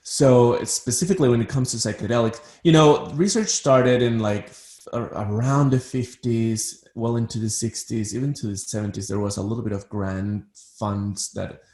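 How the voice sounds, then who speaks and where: A man's voice: mid-range voice, fairly even intonation, moderate pace, a little mellow, reverberant. A man from Ecuador who works as a psychiatrist, talking as an interview guest and often repeating words as he starts a sentence. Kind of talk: interview conversation.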